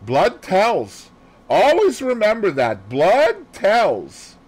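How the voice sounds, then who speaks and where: A man voicing a fussy, excitable little Belgian detective in an audiobook, theatrical and precise, dropping in French phrases. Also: high voice, drawn-out words, pitched above the performer's own voice, full character voice. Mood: grave. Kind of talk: audiobook character voice.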